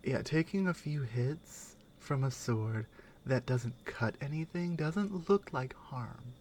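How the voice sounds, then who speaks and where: A woman playing a strong, wry, skeptical Nordic warrior companion in a soft, intimate ASMR roleplay, musing with pauses and murmured asides. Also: rumbling low, animated, slight background noise, full character voice. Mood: concerned, wry.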